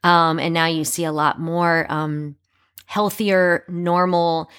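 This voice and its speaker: A woman's voice: on the low side, fairly even intonation, slow, fairly bright tone, clear, resonant tone. Hesitant, thoughtful woman podcast co-host. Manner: thoughtful, hesitant, many ums. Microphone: podcast microphone.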